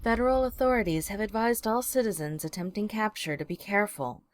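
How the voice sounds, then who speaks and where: An actress playing a composed news reporter reading a scripted bulletin in an audio drama. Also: female voice, mid-range voice, fairly quick, slight background noise, lightly acted. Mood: neutral, official. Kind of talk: scripted newscast.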